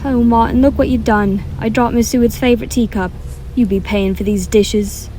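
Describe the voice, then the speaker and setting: An actress playing a feisty, superstitious household maid in a radio drama, colloquial and exclamatory. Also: female voice, on the high side, fairly even intonation, moderate pace, mellow, a little hiss or hum, lightly acted. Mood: scolding, uneasy.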